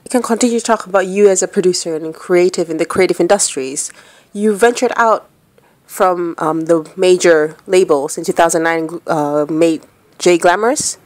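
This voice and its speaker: A woman's voice: on the low side, normal speed, dry recording. A woman asking questions as a radio interviewer in a conversational way.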